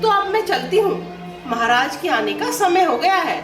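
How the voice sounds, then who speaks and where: Echoing and distant, with music behind it, this is a high-pitched scheming maidservant, played by a woman. She speaks in an insinuating, theatrical way, urging her mistress on as in a mythological stage drama. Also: big pitch swings, normal speed. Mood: sly. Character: scheming female maidservant goading her queen. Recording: echoey, distant playback with loud music.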